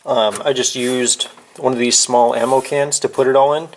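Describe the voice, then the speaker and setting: A man's voice: medium pitch, steady tone, normal speed, low-quality recording. A man giving a casual, hands-on how-to demonstration in an informal, offhand way, as if thinking aloud while he works. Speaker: DIY video presenter. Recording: clear background noise, clicks of handling.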